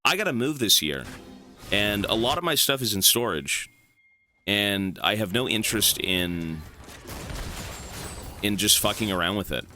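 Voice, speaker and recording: Bright-voiced man chatting on stream. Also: lowish voice, expressive, a little slow, pauses often, soft background music. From Canada. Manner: rambling, swears, answers chat. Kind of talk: casual stream chat while gaming.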